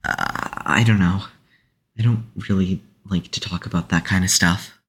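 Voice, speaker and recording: A man's voice: low voice, fairly even intonation, a little slow, fairly bright tone, breathy edge, full character voice. A man playing a shy, guarded, awkward young man who stammers hesitantly in an audio drama. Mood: nervous, guarded.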